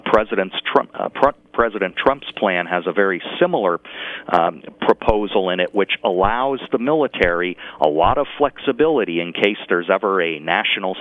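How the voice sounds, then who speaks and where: An American man speaking as an interview guest, explaining and advocating in a measured, articulate way. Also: on the low side. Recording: phone-like narrow-band radio call-in line.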